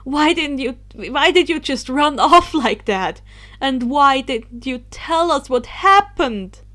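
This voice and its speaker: A female narrator plays a middle-aged wizard in an audiobook story. He is anxious and caring, voiced on the high side. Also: animated, takes it slowly, fairly bright tone, exaggerated voice. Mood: distressed. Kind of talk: audiobook character voice.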